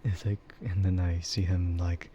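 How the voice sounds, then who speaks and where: Slow, low-voiced man in muffled audio. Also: flat delivery, warm tone. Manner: chuckles often while talking. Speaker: VTuber livestreamer. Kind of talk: casual rambling ASMR livestream chat. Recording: muffled audio with clear background noise.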